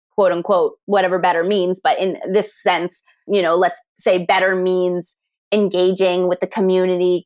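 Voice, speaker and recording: American woman academic being interviewed, reflective, questioning. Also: lowish voice. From United States. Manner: thoughtful, asks rhetorical questions, frequent restarts. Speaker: tourism academic guest. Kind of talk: conversational interview.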